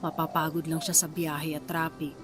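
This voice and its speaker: A woman's voice: deep voice, little pitch movement, normal speed, over music, natural voice. An actress playing an anxious, suspicious pregnant wife who downplays her worries in a Filipino radio drama, with a casual, emotional delivery. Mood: hesitant, casual. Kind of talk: Tagalog radio drama acting.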